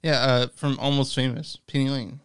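A man's voice: medium pitch, flat delivery, normal speed, background noise. A man co-hosting a movie podcast, laid-back and joking as he plugs the show. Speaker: podcast co-host. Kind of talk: casual podcast banter.

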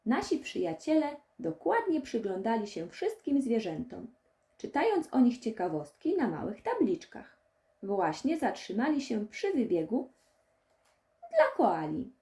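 Animated female children's story narrator, mellow voice. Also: a little high, unhurried. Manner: expressive storytelling for young children. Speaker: audiobook narrator.

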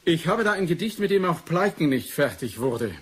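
A precise, husky research doctor. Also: male voice, on the high side, lively intonation. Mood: intent, explaining. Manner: measured, formal, clipped dictation. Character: male research doctor, precise, analytical, brooding. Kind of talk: 1980s German science fiction radio play acting.

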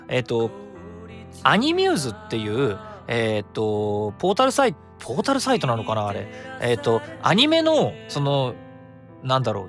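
Guy hosting a radio show, super animated swoopy voice, rambling and thinking out loud, music behind him. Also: on the high side. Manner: chatty, rambling, thinking aloud with self-corrections. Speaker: radio host. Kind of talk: casual solo radio talk with announcements. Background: background music.

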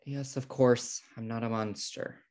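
A man's voice: mid-range voice, steady tone, unhurried, darkish tone, lightly acted. A man playing an uptight, exasperated twin brother, indignant and earnest, in a sitcom table read on a video call. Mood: fed up. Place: indoor room at home on video call.

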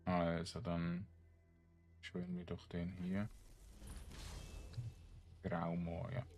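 A man giving casual gameplay commentary, thinking aloud in short, halting phrases. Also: low-pitched, completely flat delivery, darkish tone. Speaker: let's play streamer.

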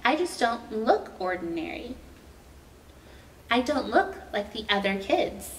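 A young woman reads a picture book to small children in an expressive storytime style. She takes her time with each phrase. The recording is roomy, picked up at a distance from the mic, with faint noise. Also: fairly high pitch, measured pace. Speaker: library storytime host reading aloud. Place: indoor room with painted mural.

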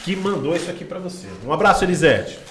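A man's voice: high voice, quick pace, fairly bright tone, roomy sound, a little hiss or hum. A middle-aged man, presenting conversationally as a podcast host. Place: small podcast studio. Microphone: close podcast microphone on boom arm.

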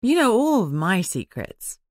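Casting: female narrator as young woman, secretive best friend, brisk, unbothered, audiobook dialogue. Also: mid-range voice, big pitch swings, darkish tone, no room sound, clean studio sound, pitched above the performer's own voice.

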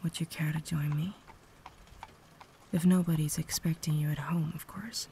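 A woman playing a cultured, caring vampire lady in an intimate ASMR roleplay, whispering softly with refined, gentle phrasing. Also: deep voice, steady tone, normal speed. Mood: inviting, cultured. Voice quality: soft, breathy whisper. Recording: noticeable background ambience noise.

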